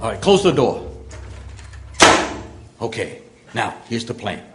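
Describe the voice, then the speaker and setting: An actor playing a blustering, anxious, muddle-headed middle-aged family man in old-time radio comedy style, excitable in manner. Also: fairly low pitch, slow pace. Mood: scheming. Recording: noisy live stage radio re-creation.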